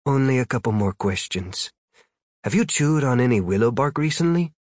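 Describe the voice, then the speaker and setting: A man voicing a cool, probing female demon in an audiobook story, with a deep husky voice, a foreign accent and matter-of-fact questioning. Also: animated, moderate pace, darkish tone, no echo, studio quality, close to an everyday voice. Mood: dry, wry.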